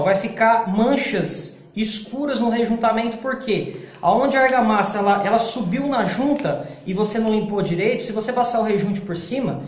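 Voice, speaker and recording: A man in his forties from Brazil, giving a casual, chatty tile-setting tutorial in an unfinished kitchen and often calling out to his viewers. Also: very high-pitched. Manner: chatty, calls viewers "pessoal" and "galera". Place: unfinished apartment kitchen, indoors. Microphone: camera at close range. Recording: echoey bare room.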